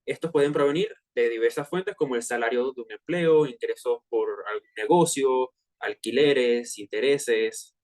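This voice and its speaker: A young Venezuelan man in his twenties talks through a personal finance session for fellow students. His voice sits at an ordinary middle pitch, and his delivery is loose and conversational, with plenty of hesitant fillers and casual slang. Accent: Venezuelan Spanish. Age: 20s. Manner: relaxed and chatty, with frequent filler hesitations and slang. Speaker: student presenter of a finance workshop. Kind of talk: casual online presentation to peers.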